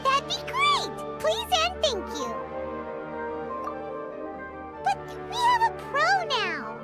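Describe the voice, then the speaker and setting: A woman voicing a tiny, excitable, childlike floating companion in a video game, squeaky and speaking of herself in the third person. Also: unhurried, full character voice. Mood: excited. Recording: loud music behind the voice. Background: music.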